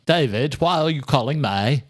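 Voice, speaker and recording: A man's voice: mid-range voice, lively intonation, normal speed, no echo, studio quality, pitched above the performer's own voice, lightly acted. A man voicing an agitated, accusing, foul-mouthed male vampire in an audiobook. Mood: angry, panicked. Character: panicked, furious, foul-mouthed male cousin of a vampire lord. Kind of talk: audiobook character voice.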